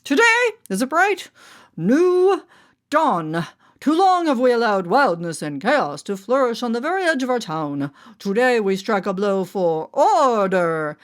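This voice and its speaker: A woman's voice: on the high side, big pitch swings, a little slow, voice pushed up. A woman voicing a pompous, stern small-town mayor, a man given to declamatory speeches, in a storybook reading. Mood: grandly rousing.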